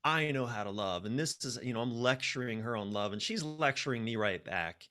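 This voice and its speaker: A man's voice: medium pitch, expressive, fairly quick, bright, forceful tone. A man speaking as a podcast guest, telling personal stories candidly and self-deprecatingly, acting out his inner dialogue. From United States. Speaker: podcast guest, relationship coach. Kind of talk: personal storytelling in a conversational interview.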